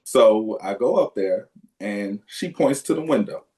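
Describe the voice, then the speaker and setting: Male podcast co-host, casual storyteller, lively and profane. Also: on the low side, a little slow. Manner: animated, profane, loud yelps when acting out.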